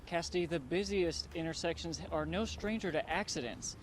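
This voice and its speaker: A man giving a scripted TV news report in a measured, emphatic reporter's delivery. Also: fairly high pitch, background noise.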